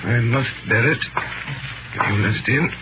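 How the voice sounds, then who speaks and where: An actor playing a bewildered, haunted old prisoner in a 1930s radio drama, faltering and repeating himself. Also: male voice, medium pitch, unhurried, phone-quality sound, lightly acted. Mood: dazed, vacant. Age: older. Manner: hesitant, vacant, repeats himself. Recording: scratchy, noisy 1930s radio recording.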